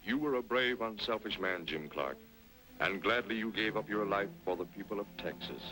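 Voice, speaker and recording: An actor playing a noble, resolute western hero with stately, earnest oratory in an old-time radio drama. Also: male voice, fairly low pitch, expressive, normal speed, bright, forceful tone, low-quality recording. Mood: solemn, resolute.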